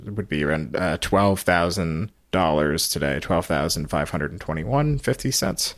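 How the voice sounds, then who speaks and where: A man hosting a podcast, recapping a story in a casual, halting, explanatory way. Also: low voice, lively intonation, no room sound, clean studio sound. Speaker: podcast host.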